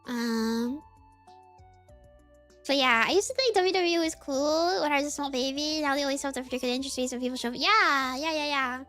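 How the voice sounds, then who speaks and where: A woman's voice: high-pitched, a little fast, bright, forceful tone, faint music behind. A woman streamer chatting casually in a rambling, giggly way, full of fillers. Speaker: VTuber streamer.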